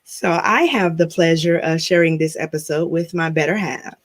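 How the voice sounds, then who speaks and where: A woman's voice: deep voice, normal speed. A woman hosting a podcast, chatting casually, teasing her guest and laughing often. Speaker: podcast host. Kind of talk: casual chat.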